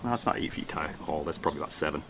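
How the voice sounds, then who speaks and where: Very deep-voiced American man outdoors. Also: expressive, rapid-fire. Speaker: homesteading vlogger. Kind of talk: casual garden tour vlog. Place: outdoors in a backyard garden. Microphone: camera held at arm's length. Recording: outdoor handheld recording with background noise.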